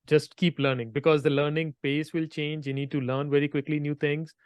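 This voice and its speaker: A man's voice: a little high, level tone, smooth, no echo. Earnest podcast host man, dry sound. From India. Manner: earnest, halting, repeats words. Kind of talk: interview podcast chat.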